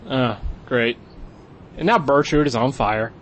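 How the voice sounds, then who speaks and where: A man's voice: medium pitch, measured pace, fairly bright tone, a little hiss or hum, close to an everyday voice. A man playing a dry, sardonic rifleman bantering casually in an audio comedy drama. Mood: dry, preoccupied. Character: wry, casual rifle sniper bickering with his brother.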